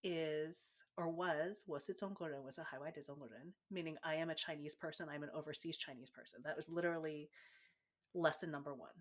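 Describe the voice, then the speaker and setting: A woman's voice: low voice, fairly quick. Candid, reflective woman guest. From United States, born in Taiwan. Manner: reflective, candid, animated at times. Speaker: guest author. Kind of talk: casual interview chat.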